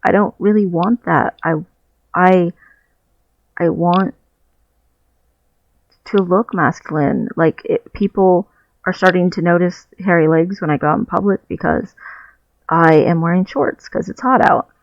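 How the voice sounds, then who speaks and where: A man's voice: high-pitched, unhurried, gravelly, long pauses, no echo. A man talking candidly and reflectively in a personal video diary, searching for his words as he goes, recorded on a headset mic while sitting on his bed at home. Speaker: vlogger. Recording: dull, muffled lo-fi headset audio.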